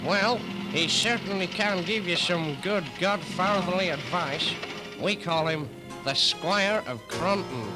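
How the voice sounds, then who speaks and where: A man's voice: sits high, slow pace, music in the background, poor audio. A man playing a stout, wisecracking middle-aged gangster in a 1970s radio comedy. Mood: cocky. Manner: wisecracking, smooth-talking. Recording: 1970s hospital radio recording.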